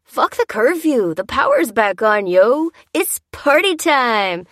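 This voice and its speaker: Woman putting on an excitable, whooping party-mad reveler. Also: fairly high pitch, no room sound, studio quality, pitched above the performer's own voice, exaggerated voice. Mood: wild elation. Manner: whooping, slangy, drawn-out exclamations. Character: excitable young party-mad street reveler in radio interview.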